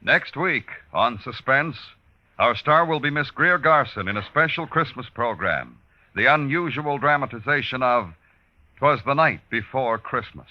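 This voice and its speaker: A man's voice: mid-range voice, expressive, measured pace. An American man reading scripted announcements as a 1950s radio show announcer. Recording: dull old radio recording. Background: music.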